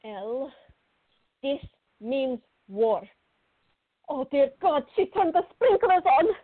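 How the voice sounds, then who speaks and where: A fiery young female student played by a woman, very high in pitch and swooping widely. She is excitable and dramatic, in radio play style, on a thin phone-like recording. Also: slow, heavily acted. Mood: alarmed, angry. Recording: dry, thin phone-like band.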